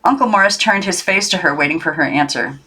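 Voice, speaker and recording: A woman reading a children's novel aloud as narrator, in an expressive storytelling style. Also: on the low side, fast talker, breathy edge, poor audio. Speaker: audiobook narrator.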